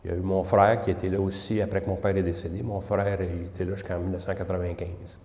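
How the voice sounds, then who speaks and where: An older man with a Quebec French accent, recalling his childhood in a relaxed, hesitant way. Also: sits low, a little hiss or hum. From Quebec, Canada. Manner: relaxed, hesitant, many pauses. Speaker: interviewee. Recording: echoey room with long reverb.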